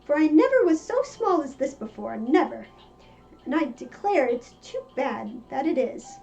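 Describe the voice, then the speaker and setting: A woman's voice: sits high, big pitch swings, normal speed, creaky, darkish tone, heavily acted. A woman voicing an anxious, bewildered little storybook girl in a dramatic, exclaiming manner. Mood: distressed. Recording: muffled lo-fi with music behind.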